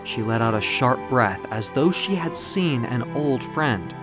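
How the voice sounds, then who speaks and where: A narrator telling a dramatic story in a measured, literary, theatrical way, as in an audio comic book. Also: mid-range voice, expressive, music in the background.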